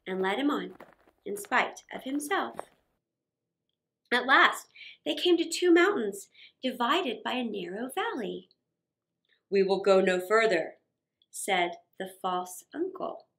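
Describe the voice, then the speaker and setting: A middle-aged woman reading a children's story aloud in a theatrical, expressive narrating style. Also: high voice. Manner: theatrical, expressive, with gasps and sound words.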